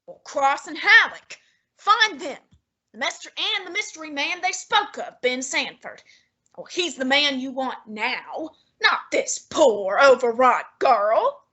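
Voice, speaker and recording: A woman playing a fussy, imperious, heavyset male detective in a student radio drama, speaking in a haughty, deliberate, commanding way with a breathy tone. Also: high-pitched, expressive, sharp tone, full character voice.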